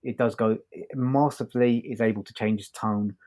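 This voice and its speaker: A man co-hosting a podcast, reviewing in a casual, halting conversational way. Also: on the low side, fairly even intonation, warm tone. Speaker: podcast co-host reviewing audio dramas.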